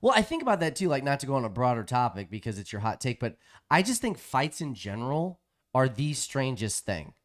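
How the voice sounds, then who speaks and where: A man co-hosting a casual podcast chat, quick to argue back and pick apart his partner's points. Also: mid-range voice, very animated. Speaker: podcast co-host.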